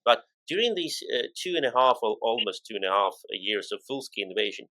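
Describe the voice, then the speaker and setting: A male interviewer with a low-pitched but bright, forward voice. He speaks haltingly, stopping often to pause mid-thought. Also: big pitch swings, poor audio. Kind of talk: conversational interview.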